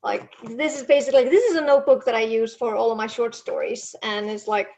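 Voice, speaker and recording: A woman's voice: a little high, fairly quick, creaky, darkish tone. A woman with an Icelandic accent, a native Icelandic speaker, chatting as a podcast guest in a rambling, self-mocking way with lots of laughter over a video call. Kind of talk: casual interview chat. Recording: dull lo-fi call audio.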